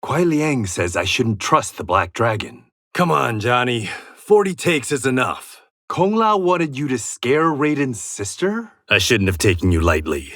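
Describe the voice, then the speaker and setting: Sharp-voiced, proud swordsman. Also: medium pitch, very animated, measured pace, few pauses, no echo, studio quality, heavily acted. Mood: wry, determined. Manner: confident, terse, sardonic. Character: male swordsman warrior, former gangster, calm and proud. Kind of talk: video game fight dialogue, short taunting lines.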